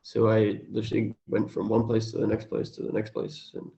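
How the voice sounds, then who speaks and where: A man's voice: lowish voice, completely flat delivery, brisk, vocal fry, warm tone, muffled recording. A man, chatting in a laid-back, understated way as an interview guest. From Australia. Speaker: cafe owner and interview guest. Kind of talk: casual interview chat.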